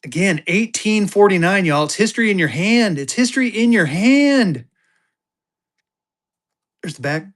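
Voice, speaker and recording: High-voiced man hyping auction bids. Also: animated, unhurried, long pauses, a bit bright. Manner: hypes bids in bursts, talks about himself in third person. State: tired. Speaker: live auction host selling banknotes. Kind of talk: live-stream auction patter.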